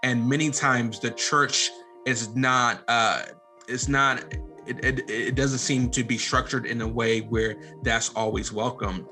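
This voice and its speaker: A man with a bright, forward voice at an average pitch, talking as a podcast guest. He is candid and earnest, circling around his points and qualifying them as he goes. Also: fairly even intonation, a little slow, faint music behind. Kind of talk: candid conversational interview.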